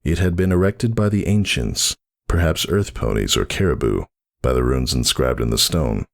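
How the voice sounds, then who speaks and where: A man's voice: rumbling low, animated, normal speed, vocal fry. A man narrating a fantasy story in a dramatic, scripted reading style.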